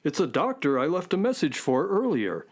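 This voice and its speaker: A man's voice: a little high, very animated, normal speed, husky, exaggerated voice. A man playing an earnest, knowledgeable, polite space adventurer in a children's radio drama, speaking precisely and courteously. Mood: earnest, concerned. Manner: precise, courteous, well-spoken.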